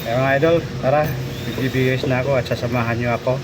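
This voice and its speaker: A man's voice: medium pitch, steady tone, fast talker. A Filipino man who vlogs about motorcycling, chatting casually to his followers in an informal, repetitive hype style. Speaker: motorcycle vlogger. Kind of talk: casual vlog chat to followers. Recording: noisy vlog audio with traffic ambience.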